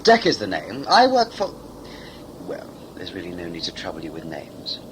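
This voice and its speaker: A man's voice: low-pitched, huge pitch swings, normal speed, slight background noise, low-quality recording. A man playing a smug, talkative villain in a sci-fi audio drama, a covert saboteur who is condescending and mock-polite.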